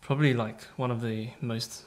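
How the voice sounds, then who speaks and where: A man's voice: mid-range voice, fairly even intonation, moderate pace. A man co-hosting a podcast, speaking hesitantly and hedging with "like" and "I mean". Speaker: podcast co-host. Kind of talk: casual chat among friends.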